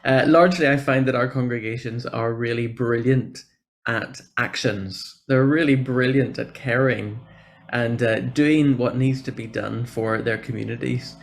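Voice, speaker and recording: A Northern Irish man speaks at mid pitch with a noticeable creak in his voice. He presents informally to an online meeting, in an encouraging and appreciative manner. It sounds like a guest speaker talking over a video call. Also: steady tone, measured pace. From Northern Ireland. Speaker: church programme officer, guest speaker.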